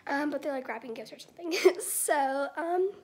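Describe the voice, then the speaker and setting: A woman's voice: sits high, moderate pace, darkish tone. A girl of about thirteen with an American accent, chatting casually to her vlog, often saying "like". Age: 13, young teenager. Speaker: vlogger. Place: indoors at home, bedroom and kitchen. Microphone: camera or phone at arm's length. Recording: noisy handheld home recording.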